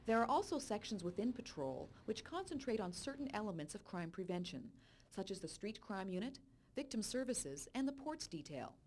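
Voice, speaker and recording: A woman reading a scripted voice-over narration for a police recruiting video in a clear, measured way. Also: medium pitch, sing-song, a little mellow, a little hiss or hum. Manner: clear, measured informational delivery.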